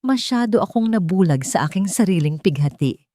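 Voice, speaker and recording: An elderly grandmother, voiced by a woman storyteller, grieving, tender and full of remorse in a family drama. She speaks in a subdued, solemn way with a low, soft-edged tone, recorded dry. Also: lively intonation, normal speed, no echo. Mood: remorseful.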